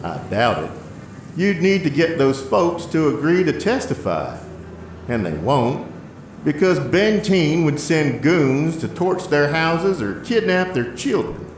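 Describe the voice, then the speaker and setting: Lively-pitched older diner owner. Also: male voice, slow, slight background noise. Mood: resigned. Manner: fatherly, plainspoken, resigned. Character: kindly, world-weary older male diner owner. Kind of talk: audio drama acting. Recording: echoey, with loud music underneath. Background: music.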